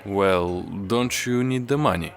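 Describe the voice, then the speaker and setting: A man playing a reserved, solitary young therapist in an audio drama, speaking in a measured, formal, understated way. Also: on the low side, creaky. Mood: guarded, weary. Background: music.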